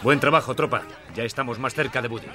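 A man's voice: medium pitch, quick pace, crowd noise, lightly acted. An actor playing a bold male toy space ranger who barks stiff military orders in a storybook reading. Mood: commanding. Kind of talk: storybook dialogue. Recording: music playing under the voice.

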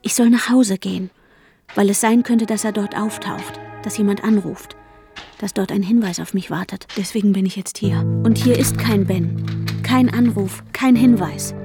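An actress playing an anxious wife searching for her missing husband in a radio drama, urgent and breathy, often slipping toward a whisper. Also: medium pitch, normal speed, lightly acted. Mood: bitter, brooding. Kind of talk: radio drama acting with intimate inner monologue. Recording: guitar music behind the voice. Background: guitar music.

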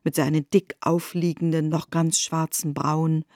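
A woman narrator with a lowish, dark and mellow voice reads literary prose for a radio drama. The sound is close and very dry. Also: level tone, a little slow, dry recording.

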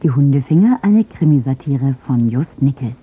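A woman with a husky, dark voice making a scripted, dramatic title announcement for a radio play. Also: extremely low pitch, animated, moderate pace, phone-quality sound.

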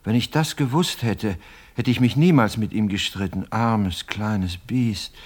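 An actor in a radio play as a scheming businessman who wheedles self-importantly and is quick to lose patience. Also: fairly low pitch. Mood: wheedling. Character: scheming, hot-headed businessman haggling with a ghost.

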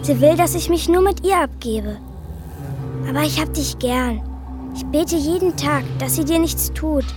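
A high, childlike voice of a strange little girl in a radio drama, murmuring secrets with unsettling earnestness. Music plays clearly under her. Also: measured pace. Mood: confiding.

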